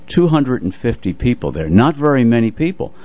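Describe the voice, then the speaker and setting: An older man co-hosting a radio show, casually telling anecdotes about music in an unhurried way. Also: medium pitch, big pitch swings, darkish tone, dry recording.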